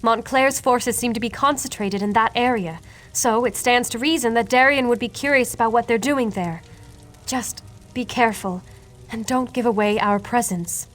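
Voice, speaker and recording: A woman's voice: a little high, normal speed, fairly bright tone, lightly acted. An actress voicing an earnest, compassionate noblewoman and healer who leads with a sense of duty in a video game. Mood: concerned. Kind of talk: video game character dialogue.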